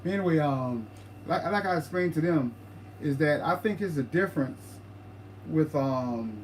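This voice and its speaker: A man co-hosting a paranormal livestream, talking casually, halting and repeating words as he explains his ideas. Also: a little high, animated, slight background noise, low-quality recording.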